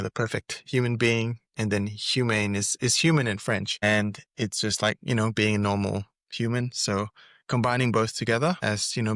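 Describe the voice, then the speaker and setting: A man's voice: lowish voice, a bit bright, no echo, clean studio sound. A man from Singapore, a musician, answering interview questions in an easygoing, hesitant way, often restarting his phrases. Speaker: musician guest. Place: Seoul, recording remotely.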